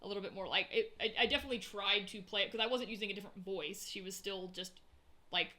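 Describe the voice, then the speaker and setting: A woman with a Southern US accent chats casually as a podcast co-host. Her voice is bright and forward, and she talks in long, animated runs full of fillers. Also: mid-range voice, fast talker. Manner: chatty, many "like" fillers, animated storytelling. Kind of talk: casual chat.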